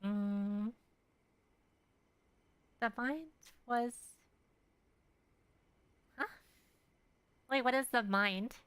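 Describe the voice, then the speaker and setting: A woman's voice: a little high, drawn-out words, pauses often, fairly bright tone, smooth, dry recording. A woman doing casual game commentary, thinking aloud in a hesitant, rambling way. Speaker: game streamer.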